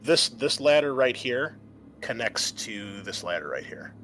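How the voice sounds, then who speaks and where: A man narrating a tabletop roleplaying game as game master, explaining in a halting way. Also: medium pitch, sing-song, fairly bright tone, slight background noise.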